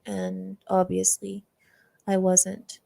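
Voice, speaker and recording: A woman's voice: medium pitch, monotone, very slow, vocal fry, warm tone. A young woman hosting a livestream, telling a personal story in a chatty way, laughing often and reading out chat comments. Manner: chatty, laughs often, acts out quoted classmates. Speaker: livestream host.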